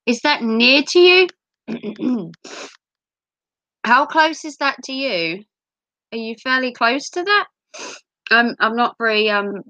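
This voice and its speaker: A British woman streams while sick with a cold, her voice wheezy and broken by throat clearing. She rambles casually with lots of ums and self-mocking jokes, her tone bright and forceful. The close mic sounds dry and a little dull. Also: mid-range voice, no echo. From United Kingdom. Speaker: livestream host. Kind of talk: casual livestream chat.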